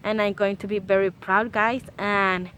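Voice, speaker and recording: A young woman from El Salvador with a Spanish accent, speaking English in a casual, earnest vlog full of pauses and "eh" fillers. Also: mid-range voice, level tone, fairly bright tone, slight background noise. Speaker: vlogger.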